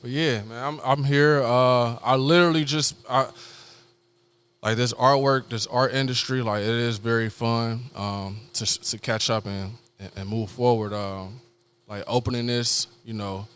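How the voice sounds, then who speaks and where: A man from New York City, a guest on a podcast, talking in a laid-back, slangy, soft-spoken way about his art gallery business. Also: mid-range voice, steady tone, a little slow, smooth. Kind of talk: casual podcast conversation.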